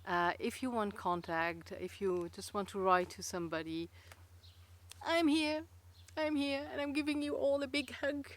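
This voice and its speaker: A woman's voice: on the high side, fairly even intonation, moderate pace. A woman from Luxembourg with a Luxembourgish accent, whose first language is Luxembourgish, chatting casually to camera in a rambling, hesitant way with many fillers and restarts. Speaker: vlogger.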